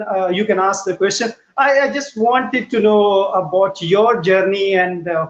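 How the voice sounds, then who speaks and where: High-voiced host on echoey call. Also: male voice. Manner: halting, many restarts and fillers. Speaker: interview host. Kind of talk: live online interview. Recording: echoey, dull, lightly noisy call audio.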